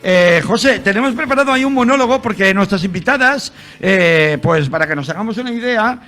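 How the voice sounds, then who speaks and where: High-voiced male radio host, halting. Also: animated, sharp tone. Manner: rambling, many hesitations and fillers. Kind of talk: radio magazine presenting. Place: radio studio. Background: music.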